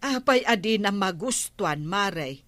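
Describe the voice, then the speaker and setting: An actress playing a village captain's wife, a calm and inquiring matron in a radio drama, with an Ilocano accent. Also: female voice, mid-range voice, moderate pace, no room sound, natural voice. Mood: inquiring, firm.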